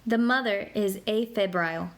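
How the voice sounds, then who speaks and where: A woman narrating an interactive children's book in a clear, explanatory way, slowing down to spell out medical terms. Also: mid-range voice. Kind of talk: scripted read-aloud narration for kids. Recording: clear background noise.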